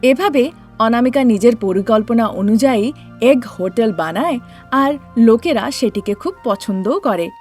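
A woman's voice: fairly high pitch, expressive, measured pace, a little mellow, soft background music. A woman narrator telling a scripted children's story.

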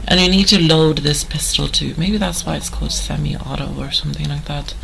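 A hoarse woman giving chatty, rambling commentary over a game she plays. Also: deep voice, steady tone, a little fast, a bit bright, a little hiss or hum, rough recording. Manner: chatty, rambling, full of fillers. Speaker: gamer. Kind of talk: let's play commentary.

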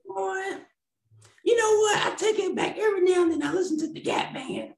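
A man's voice: very high voice, a little fast, creaky, pitched above the performer's own voice. A bawdy, churchgoing old grandma puppet who drawls her answers and calls everyone baby, voiced by a man. She sounds a touch roomy, like a small home room on a video call. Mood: sassy.

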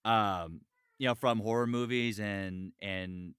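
A man's voice: lowish voice, steady tone, slow pace, fairly bright tone, smooth, no room sound, studio quality. A man talking in a casual podcast chat, earnest and emphatic, repeating key phrases. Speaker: voice actor, podcast guest.

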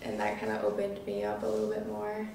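A woman's voice: very deep voice, sing-song, quick pace, vocal fry, a little mellow. A nineteen-year-old woman chatting casually as an interview guest, peppering her talk with filler words. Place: small living room, seated on a couch. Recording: roomy, distant mic, faint noise.

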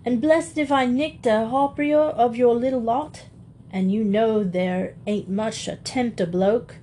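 A woman voicing a gruff, husky working-class burglar who talks in slang and begs to be let off. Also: on the high side, unhurried, dark tone, a little hiss or hum, natural voice. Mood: pleading. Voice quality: husky, thick voice. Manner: wheedling slang, dropped grammar.

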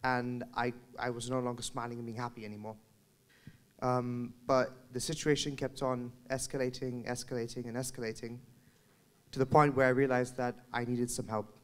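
A young man recounts his own struggles in an earnest, reflective way. His voice sits at an ordinary middle pitch. He holds a handheld mic on a hall stage. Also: little pitch movement, normal speed, clean tone. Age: young adult. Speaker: student speaker. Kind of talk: personal testimony at a panel.

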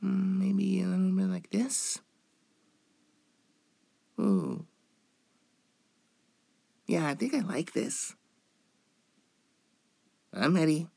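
A man's voice: high-pitched, very animated, drawn-out words, gravelly, pauses often, a little mellow, no room sound, full character voice. A young man playing a cute, shy, playful femboy in an ASMR roleplay, coy and hesitant, drawing out his words. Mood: soft, pleased.

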